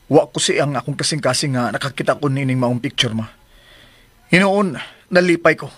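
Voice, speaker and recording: A man's voice: medium pitch, fairly quick, a bit bright, dry recording, natural voice. A man in a Visayan Filipino radio drama playing a composed, resolute young adult son. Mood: calm, resolute. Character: composed, resolute grown son set on leaving his partner. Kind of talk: broadcast radio drama acting.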